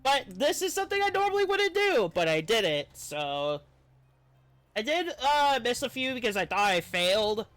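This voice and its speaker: A man's voice: very high voice, sing-song, moderate pace, fairly bright tone. A man doing let's-play commentary, reading game dialogue aloud and reacting to it.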